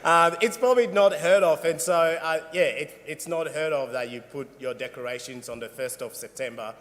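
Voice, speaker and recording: Man from West Africa, guest speaker, playful, fairly high pitch, long reverb. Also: moderate pace, a bit bright. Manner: laughs a lot, playful. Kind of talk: casual storytelling.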